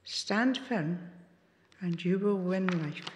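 Female lay reader, measured scripture reading, reverberant church, mic a bit distant. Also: on the low side, darkish tone, a little hiss or hum. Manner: slow, careful reading with clear phrasing.

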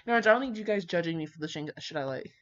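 Woman, lowish lively voice, brash and sweary, casual rambling commentary, slangy, dull lo-fi mic. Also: fast. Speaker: fan fiction reader and commentator. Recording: dull lo-fi microphone.

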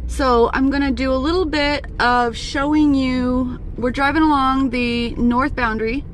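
A woman giving a friendly sales tour of farmland, recorded outdoors and from a car. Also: steady tone. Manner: slow, friendly sales pitch. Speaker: real estate agent. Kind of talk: property tour narration. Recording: loud wind noise on a phone mic.

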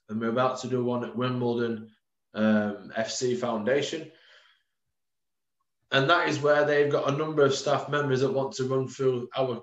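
A man in his thirties, a strength and conditioning coach, giving an informal webinar presentation over a video call, with frequent ums, calling his listeners guys. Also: mid-range voice. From United Kingdom. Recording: roomy, dull lo-fi webcam audio.

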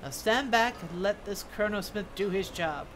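A woman's voice: mid-range voice, animated, moderate pace, slight background noise, natural voice. A woman voicing a proud, folksy old male dwarf blacksmith from a fantasy game. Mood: hearty. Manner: folksy, proud, reading scripted dialogue.

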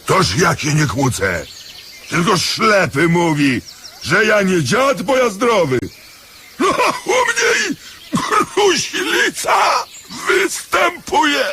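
Zawodzący bankrut udający żebraka, skacząca intonacja. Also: male voice, sing-song, slow pace, background noise, heavily acted. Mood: whining, loud. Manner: switches between low polite speech and shrill folksy wailing. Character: bankrupt male industrialist playing a loud, whining deaf beggar. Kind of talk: Polish radio play acting.

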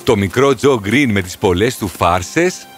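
A man's voice: fairly low pitch, expressive, close to an everyday voice. Earnest, sorrowful horse storyteller played by a man. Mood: sorrowful, reflective. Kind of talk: storybook cartoon narration. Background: music.

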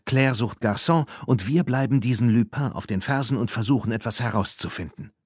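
A man voicing a brisk, decisive teenage girl in a children's audiobook. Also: mid-range voice, slightly rough, no echo, clean studio sound, natural voice.

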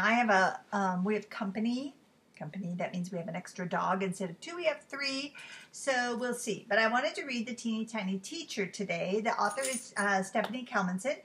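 A middle-aged woman reading a children's book aloud in an expressive, deliberate teacher's manner at home. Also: fairly high pitch. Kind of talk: read-aloud children's storytime. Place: home living room.

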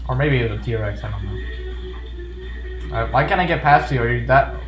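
A man talks casually through a video game at a middling pitch, full of joking, mock-serious asides. His voice has noticeable room echo, and game music plays faintly behind him. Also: moderate pace. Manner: jokey, playfully sarcastic asides. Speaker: video game player and commentator. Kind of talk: casual gameplay commentary.

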